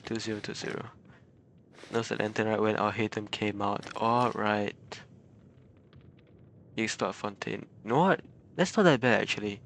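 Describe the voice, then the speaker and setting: A man's voice: fairly low pitch, moderate pace, creaky, long pauses, background noise. A man livestreaming a game, chatting casually with viewers, chuckling often and stammering over repeated words. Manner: chuckles often between remarks.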